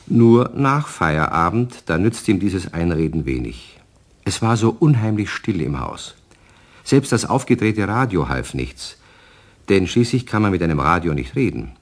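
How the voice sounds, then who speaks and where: A storyteller narrating a children's radio play. His voice is deep, and his delivery moves expressively up and down as he addresses young listeners. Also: sing-song, a little slow, full character voice. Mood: storytelling.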